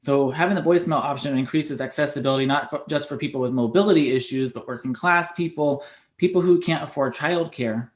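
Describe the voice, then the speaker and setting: A man's voice: on the high side, brisk. A man hosting an activist panel, moderating the discussion and introducing speakers and questions.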